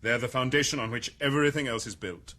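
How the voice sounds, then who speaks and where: An actor with a British accent playing an urbane, powerful male world controller who speaks in a measured, wry, condescending way, in a radio drama. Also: medium pitch, bright, forceful tone, close to an everyday voice. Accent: British English.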